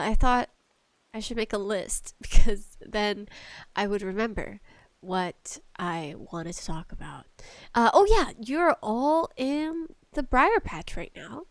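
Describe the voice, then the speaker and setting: A woman chatting casually as a solo podcast host, nervous, halting and apologetic, with allergies bothering her. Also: fairly high pitch, fairly bright tone, dry recording. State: allergies acting up. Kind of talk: casual solo podcast chat about games and tea.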